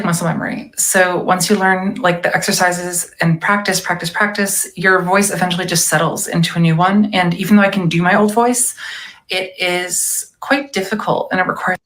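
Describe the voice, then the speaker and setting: A woman calmly explaining something in a played clip. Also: lowish voice, poor audio.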